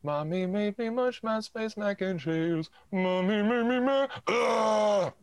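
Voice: mid-range voice, slow, no room sound, studio quality. A performer playing a goofy, naive male police sidekick in a comedic radio play, earnest and eager.

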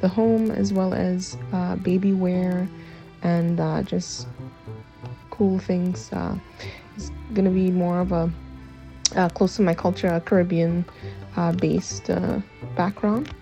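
A woman's voice: fairly low pitch, level tone, slow pace, creaky, mellow. A woman answering interview questions casually and hesitantly, with many fillers. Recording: dull lo-fi audio with faint music.

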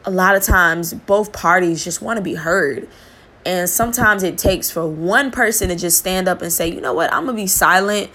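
A young woman with a somewhat low-pitched voice talks in an earnest, candid way. She reflects openly on her own habits, in loose, casual phrasing with the odd restart. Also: normal speed, sharp tone. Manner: casual speech with fillers and restarts. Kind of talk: reflective personal talk.

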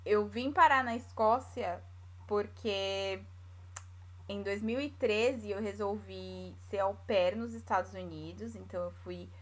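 A woman's voice: medium pitch, measured pace. A woman from São Paulo, speaking Portuguese with a paulista accent, casually telling her own story in conversation. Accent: São Paulo Brazilian Portuguese. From São Paulo, Brazil.

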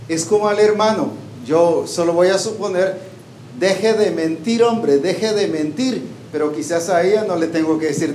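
High-pitched guy preaching, keeps asking the crowd questions, echoey church hall, bit of hiss. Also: darkish tone. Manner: asks the congregation questions and waits for answers. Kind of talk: sermon. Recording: echoey hall with faint background noise.

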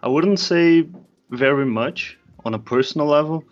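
Young Brazilian guest, relaxed and wry, muffled call audio. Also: male voice, a little high, moderate pace, soft background music. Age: young adult. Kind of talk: casual interview answers.

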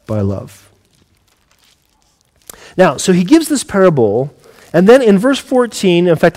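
A man's voice: on the high side, a little mellow. A man in his forties speaking as a pastor, giving a sermon in an earnest, conversational way and putting questions to his listeners. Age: 40s.

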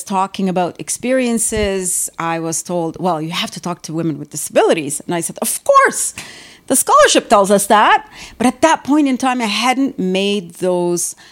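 A middle-aged woman from Lebanon, a professor and artist, speaking reflectively as an interview guest and often repeating words as she searches for phrasing. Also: lowish voice.

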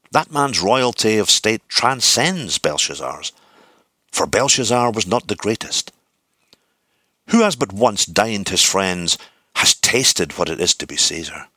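A man reading literary narration from a script, with deliberate, theatrical phrasing. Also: sits low, pushed and bright, no echo. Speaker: audiobook reader.

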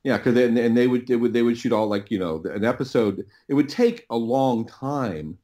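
A middle-aged man speaking as a podcast guest, telling stories casually and haltingly, often repeating words as he thinks. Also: medium pitch, dark tone. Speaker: podcast guest, audio producer. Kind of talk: casual interview storytelling.

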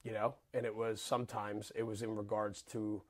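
A man's voice: lowish voice, flat delivery, moderate pace. A man hosting a podcast, bantering casually and teasing his guest, rambling with fillers. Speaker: podcast host. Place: studio with cameras.

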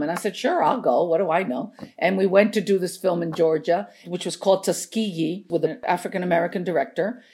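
Older female guest from Chile, Spanish native, lowish voice, conversational storyteller. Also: darkish tone. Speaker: interview guest, veteran script supervisor. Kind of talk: casual anecdotal storytelling.

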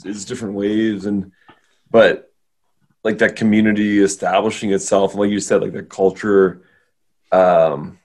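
A man's voice: fairly low pitch, little pitch movement, a little slow, darkish tone. A man from Alberta, Canada, hosting a casual chat and rambling through questions, full of "like". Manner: rambling, heavy use of "like". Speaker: host.

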